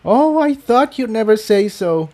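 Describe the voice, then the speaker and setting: A man voicing a playful, theatrical pony companion with a dramatic, breathy delivery in a story reading. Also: sits high, expressive, a little slow, mellow, voice pushed up. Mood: relieved.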